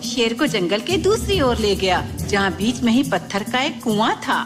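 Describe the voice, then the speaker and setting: A woman narrates a children's story in scripted style. Her voice is a little high and very animated in pitch, with music clearly playing behind. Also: moderate pace.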